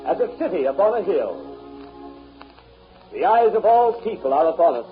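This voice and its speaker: A man acting a fervent Puritan leader, giving a solemn, oratorical sermon in a 1930s radio drama. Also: high voice. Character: fervent Puritan leader exhorting his departing flock. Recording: muffled 1930s radio recording. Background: music.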